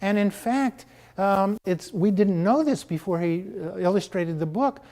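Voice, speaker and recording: A middle-aged American man, a children's book author, speaking in an interview in an unhurried, thoughtful way, with many fillers. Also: sits high, lively intonation, warm tone. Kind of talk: interview conversation about his book.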